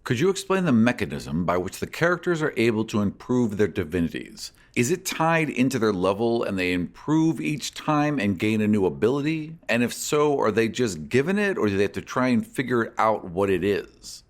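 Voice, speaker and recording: A man's voice: lowish voice, big pitch swings, normal speed, hardly any pauses. A man hosting a podcast, reading out and answering listener questions in an articulate way. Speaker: podcast host and game master.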